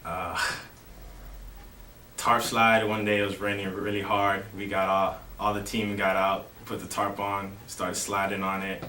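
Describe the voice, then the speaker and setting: A young man from Miami, a college baseball player, answering interview questions in a relaxed way with lots of "you know". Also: low voice, a little slow. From Miami, Florida. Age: young adult. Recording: roomy, distant mic with faint noise.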